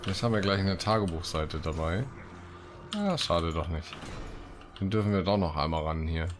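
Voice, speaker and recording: Ein Mann kommentiert locker und scherzhaft sein Spiel, murmelt vor sich hin und liest Quests vor. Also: male voice, sits low, animated, moderate pace, noisy background. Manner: joking, muttering to himself. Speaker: Let's Play host. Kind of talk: casual gaming commentary with read-out quests. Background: game music.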